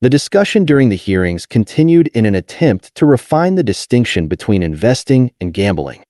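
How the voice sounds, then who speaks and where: A man narrating a nonfiction audiobook in a measured, clearly articulated reading. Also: medium pitch, sing-song, warm tone, no room sound, clean studio sound.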